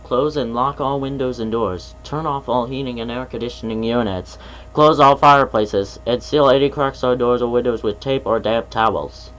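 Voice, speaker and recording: A man's voice: medium pitch, normal speed, fairly bright tone, lightly acted, poor audio. A man playing an official emergency alert announcer, reading scripted warnings in a formal, serious, instructional way. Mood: serious, urgent.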